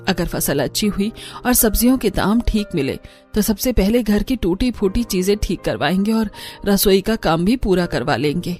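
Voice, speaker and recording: A woman's voice: medium pitch, animated, normal speed, a little mellow, faint music behind, close to an everyday voice. A woman voicing a middle-aged village mother-in-law, kindly and resigned, in a cartoon. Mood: sighing, hopeful.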